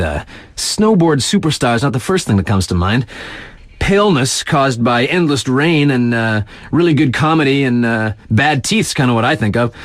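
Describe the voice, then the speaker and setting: A laid-back yet hyped radio DJ covering snowboard competition in a video game. His mid-pitched voice swoops expressively through punchy, slangy announcer patter, stretching words for emphasis. The sound is close and dry, like a radio booth. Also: no echo. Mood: amused, laid-back. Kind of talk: radio DJ sports spotlight commentary.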